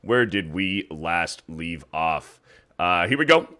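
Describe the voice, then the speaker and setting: A man's voice: sits low, lively intonation, slow, bright, forceful tone. A man hosting a casual livestream, reading super chats aloud and chatting loosely with a guest, with some swearing. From United States. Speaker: livestream host.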